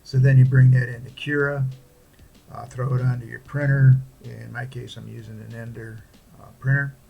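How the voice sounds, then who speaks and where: Man, monotone, creaky voice, casual hobbyist walkthrough, halting, plain-spoken. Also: medium pitch, highs cut off. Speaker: hobbyist presenter. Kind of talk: casual unscripted project walkthrough.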